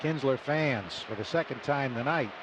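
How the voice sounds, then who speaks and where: A man calling a baseball game play by play on live radio in clipped, deliberate phrases. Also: medium pitch. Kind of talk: live radio sports commentary with sponsor reads. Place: stadium broadcast booth. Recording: noisy live ballpark radio broadcast.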